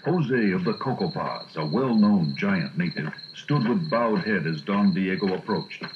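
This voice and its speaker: A man's voice: low voice, animated, a little slow, slight background noise. A man narrating a scripted adventure storybook. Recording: muffled storybook recording.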